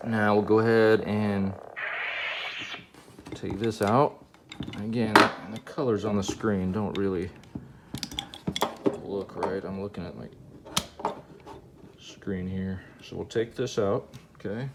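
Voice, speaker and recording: A man's voice: lowish voice, fairly even intonation, slow, pauses often. A man giving an informal hands-on gadget demonstration, half talking to himself as he works. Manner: informal, talks to himself while working. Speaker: video presenter demonstrating a gadget. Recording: clear background noise, handling clicks.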